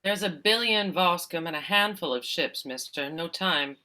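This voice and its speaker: A woman's voice: fairly low pitch, moderate pace, sharp tone. A woman playing a scrappy, menacing rat alien pirate with folksy, ungrammatical speech in a comic sci-fi script read. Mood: aggrieved.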